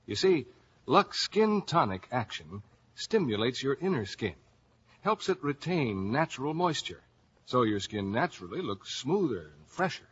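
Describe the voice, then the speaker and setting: A man's voice: medium pitch, very animated, a little slow, husky. A man with an American accent announcing in a polished 1950s radio commercial style. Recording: dull old radio recording.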